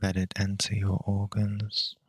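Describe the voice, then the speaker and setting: A man leading a guided meditation in a calm, measured, unhurried way with long pauses between phrases. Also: lowish voice, breathy edge, dry recording, clean studio sound.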